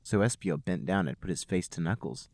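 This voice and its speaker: A man's voice: sits low, a little fast, a little mellow. A man, a podcast host, reading fan fiction aloud as a storybook-like narration.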